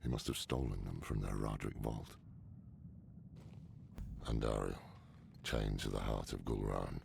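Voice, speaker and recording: Casting: grizzled old scholar, rock-bottom pitch, heavy rasping fry, dry, weary, dark fantasy game. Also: male voice, fairly even intonation, measured pace, slight background noise, heavily acted. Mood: regretful. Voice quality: very gravelly. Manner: wry, grave, weary.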